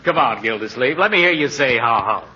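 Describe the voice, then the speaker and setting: An actor playing a jovial, needling small-town doctor, hearty and teasing, in a 1940s radio comedy on an old recording. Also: male voice, medium pitch, animated, a little fast, muffled recording, very noisy, lightly acted. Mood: coaxing.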